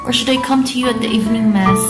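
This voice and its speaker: A desperate, defiant young noblewoman played by a woman in a student radio drama. Her voice sits rather high and carries a roomy echo. Music plays clearly behind her. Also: fast talker, close to an everyday voice. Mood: guarded, curt. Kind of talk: student radio drama acting of modernised Shakespeare.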